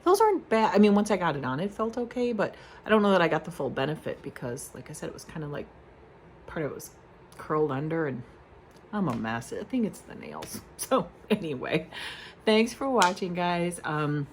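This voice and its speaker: A woman's voice: lowish voice, big pitch swings, fairly quick, slight background noise. A woman vlogging casually at home, rambling through questions with lots of fillers and self-deprecating asides. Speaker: beauty vlogger. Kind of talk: casual chat to camera.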